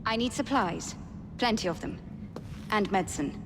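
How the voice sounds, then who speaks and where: A woman playing a brisk Victorian nurse. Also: medium pitch, expressive, noisy background, lightly acted. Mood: urgent. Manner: brisk, proper, businesslike. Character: composed Victorian nurse, middle-aged woman. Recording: game cutscene with music and long reverb.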